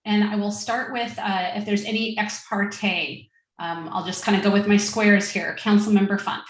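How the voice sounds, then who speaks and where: A woman's voice: medium pitch, steady tone, moderate pace, roomy sound. A woman serving as mayor, chairing a public council meeting in an informal way with frequent fillers and restarts.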